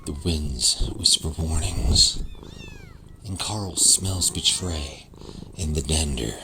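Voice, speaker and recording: A man voicing an ancient male alien plant creature in a sci-fi radio comedy, making slow, ominous pronouncements. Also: extremely low pitch, exaggerated voice. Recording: loud background noise.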